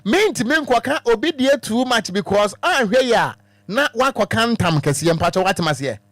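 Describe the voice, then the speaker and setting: A man's voice: high-pitched, expressive, normal speed, fairly bright tone, no echo. A Ghanaian man hosting a phone-in talk show on the radio from a studio. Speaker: radio host.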